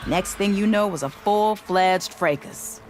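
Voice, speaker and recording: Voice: mid-range voice, measured pace, darkish tone, faint music behind, close to an everyday voice. A performer playing a plainspoken, grateful frontier quarry worker in a video game, with a folksy way of talking. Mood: grateful, weary. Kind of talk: video game dialogue acting.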